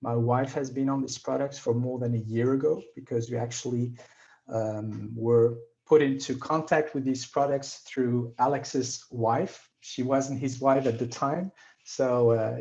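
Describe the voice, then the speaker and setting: Belgian man in his fifties, mellow. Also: mid-range voice, dull audio. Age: 50s. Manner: earnest, explanatory, slightly non-native phrasing. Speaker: guest speaker. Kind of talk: presentation on a video call. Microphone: video call.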